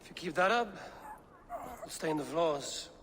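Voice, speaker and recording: An actor playing a stern, pragmatic Viking warrior in a video game, terse and disapproving. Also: male voice, on the high side, animated, measured pace. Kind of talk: video game cutscene acting. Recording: game scene with clear background noise.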